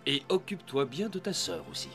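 A man voicing a gentle, encouraging father in a French cartoon dub, speaking reassuringly. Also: mid-range voice, wildly swooping pitch, brisk, soft background music.

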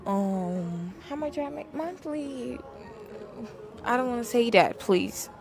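A woman in her twenties answers a street interviewer with giggles and bold boasts. Her pitch swings widely as she talks, and she speaks into a handheld mic on an outdoor terrace. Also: expressive, slow pace, creaky. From Nigeria and Morocco. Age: 20s.

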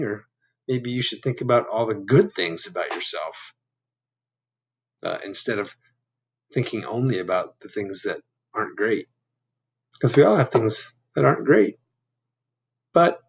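A man's voice: mid-range voice, a little hiss or hum. A man in his fifties reading a picture book aloud to children, with simple, instructive, conversational asides. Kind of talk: read-aloud with explanatory asides. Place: small room at home.

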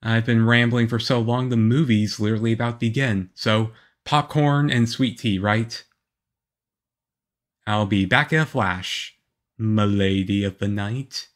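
A man playing a shy, moody, lonely young half-vampire boyfriend in an ASMR roleplay, with a hesitant, halting, earnest delivery. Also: fairly low pitch, fairly even intonation, close to an everyday voice. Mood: bashful, lighter.

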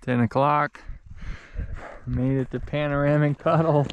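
A man's voice: a little high, fairly even intonation, a little slow, highs cut off. A young adult man chatting casually to a camera while out hiking in the mountains. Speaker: vlogger on a backcountry trip. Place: outdoors on a mountain trail and ridge. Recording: clearly noisy outdoor action-camera audio.

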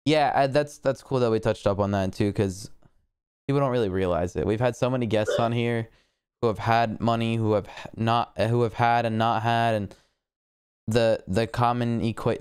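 Young guy host on a close boom mic, super smooth clear voice, dry sound, laid back slangy chat. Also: steady tone, a little mellow, dry recording. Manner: casual, slangy, quick to joke. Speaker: podcast host. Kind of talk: casual chat. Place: home living room with a flag on the wall. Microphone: boom mic on arm close to mouth.

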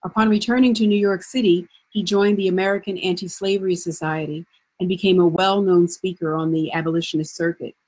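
Husky middle-aged woman presenting history. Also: fairly low pitch, fairly even intonation, darkish tone, poor audio. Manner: measured, formal. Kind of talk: scripted historical narration. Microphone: video call.

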